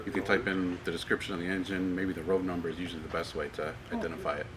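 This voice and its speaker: A man's voice: sits low, flat delivery, racing, crowd noise. A man in his thirties with an American accent, a parts manager guiding a group through a warehouse and asking them questions. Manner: friendly, explanatory, asks the group questions. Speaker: parts manager giving a tour. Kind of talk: guided tour talk to a group. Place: large warehouse with tall shelving, indoors. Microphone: far camera held by a tour member. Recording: noisy warehouse from a handheld camera.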